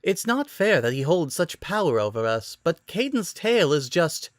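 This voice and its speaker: Man doing a refined young princess, lifted pitch. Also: sing-song, moderate pace, no room sound, clean studio sound. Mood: agreeing, charmed. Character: gentle, refined young princess, soft-spoken and graceful.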